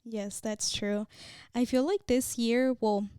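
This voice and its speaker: Woman, podcast co-host, smooth clear voice, dry close sound, casual reflective chat, lots of fillers. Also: fairly high pitch, steady tone, slow, warm tone, dry recording. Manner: reflective, many fillers like "you know" and "like".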